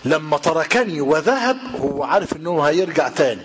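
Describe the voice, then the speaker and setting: An older man with an Egyptian Arabic accent, giving a religious lesson in a hall and questioning his listeners, slowing down when he quotes classical texts. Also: a little high. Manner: lively rhetorical questioning of the audience. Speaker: preacher. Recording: reverberant hall with faint noise.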